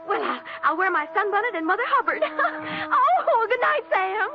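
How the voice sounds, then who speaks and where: A dull vintage radio recording of a detective's eager secretary. The woman's girlish voice is extremely high and swoops excitedly. She sounds gushing and adoring. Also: moderate pace, background noise, full character voice. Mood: giddy, coy. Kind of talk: 1950s radio drama acting. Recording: muffled old radio broadcast recording.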